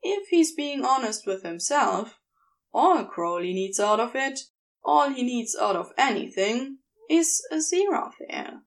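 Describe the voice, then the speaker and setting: A woman reading a story aloud as scripted, expressive narration in a measured, deliberate way. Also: a little high. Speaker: audiobook narrator of fan fiction.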